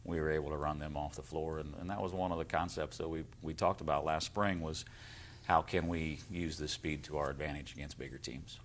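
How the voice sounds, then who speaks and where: A man's voice: rumbling low, fairly even intonation, brisk, slight background noise. An American man talking as a coach in a casual sports interview, plainspoken with a dry humour. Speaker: basketball coach.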